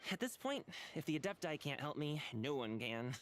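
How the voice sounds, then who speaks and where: Boyish, casual young game hero. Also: male voice, on the high side, sing-song, normal speed, a little hiss or hum. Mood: playful. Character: casual, earnest young male wushu dancer. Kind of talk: video game voice acting.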